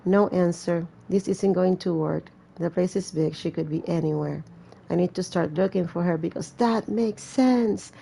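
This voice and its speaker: A woman's voice: on the low side, moderate pace, gravelly, dark tone, breathy edge. A woman doing casual gameplay commentary, reading a story aloud with chatty, joking asides. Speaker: gamer playing and reading aloud a horror visual novel.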